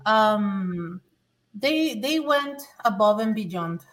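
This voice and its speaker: A woman's voice: mid-range voice, animated, very slow, far from the mic. A middle-aged woman from Mexico, earnestly telling her own story as a podcast guest, repeating words as she restarts sentences. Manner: earnest, emphatic, with frequent restarts. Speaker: guest, former adult learner. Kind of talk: personal testimony in conversation.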